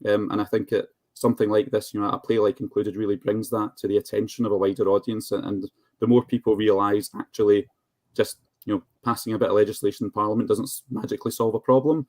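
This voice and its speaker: A man with a fairly low voice speaks on a panel in a measured, articulate way. He pauses to find his words, and the sound is fairly dry. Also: little pitch movement, darkish tone, no echo. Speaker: charity representative on a panel. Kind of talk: panel discussion.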